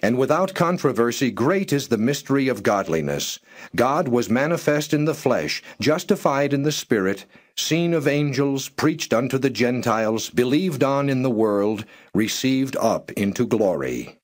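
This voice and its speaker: A man narrating scripture in a measured, solemn and formal reading style. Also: medium pitch, animated. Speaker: Bible narrator.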